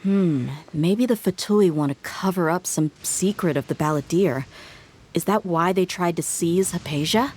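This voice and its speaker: Game mercenary, female actor: young woman, slightly low register, confident, blunt, easygoing, light background noise. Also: moderate pace, a little mellow, natural voice. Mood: thoughtful, assured. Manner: casual, assured, frank. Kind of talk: video game voice acting. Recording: faint game ambience behind.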